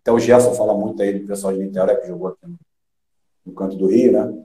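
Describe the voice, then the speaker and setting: A middle-aged man from Rio de Janeiro with a Carioca accent, a former footballer chatting as an interview guest, halting with many restarts and fillers. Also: fairly low pitch, fairly even intonation, dark tone. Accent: Carioca Brazilian Portuguese. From Rio de Janeiro, Brazil. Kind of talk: casual interview chat. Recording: echoey room.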